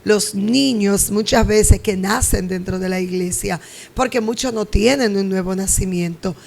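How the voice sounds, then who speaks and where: Lady pastor interviewing, fry in her voice, kinda preachy. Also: mid-range voice, a little slow, darkish tone. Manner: preachy, exhorting. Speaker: pastor and host.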